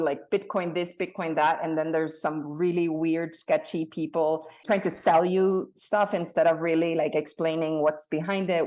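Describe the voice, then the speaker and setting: A woman's voice: low voice, darkish tone. A woman in her thirties who grew up in Latin America, telling her story as an interview guest in an earnest, conversational way with frequent restarts.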